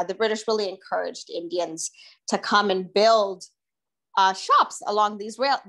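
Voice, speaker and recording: A woman's voice: medium pitch, fairly bright tone. Chatty woman presenting from her kitchen. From Tanzania. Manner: conversational with many fillers and restarts. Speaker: oral history researcher presenting her project. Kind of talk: informal presentation to a group. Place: home kitchen, indoors.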